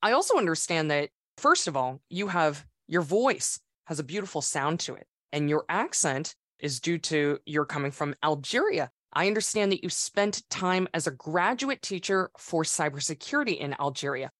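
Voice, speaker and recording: A woman hosting an interview, asking questions in a warm, encouraging way. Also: low-pitched, expressive, normal speed, hardly any pauses, dry recording, clean studio sound. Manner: warm and encouraging, complimenting the guest. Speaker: podcast host.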